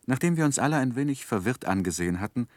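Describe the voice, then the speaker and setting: An actor playing a reflective gentleman narrator recalling his youth in a 1960s radio play, measured and literary. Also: medium pitch, animated, no room sound, lightly acted.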